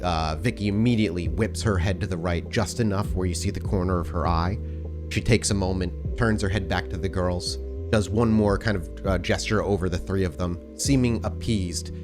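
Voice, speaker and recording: A man with a low voice runs a tabletop game as storyteller. He describes scenes deliberately, halting as he improvises. Music plays clearly behind him. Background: music bed.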